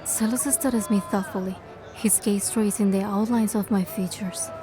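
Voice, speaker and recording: A woman voicing a bold, curious young heroine, with expressive storytelling delivery in a fantasy game. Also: mid-range voice, normal speed, faint music behind, natural voice. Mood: narrating, hushed wonder. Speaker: fan dub voice actor and narrator. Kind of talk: scripted first-person fantasy game narration and dialogue.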